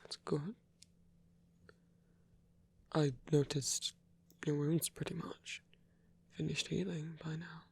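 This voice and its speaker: A man playing a gentle, caring old vampire priest in an intimate ASMR roleplay, speaking in a halting, bashful, breathy whisper. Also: on the high side, level tone, heavily acted. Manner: halting, bashful, softly reassuring.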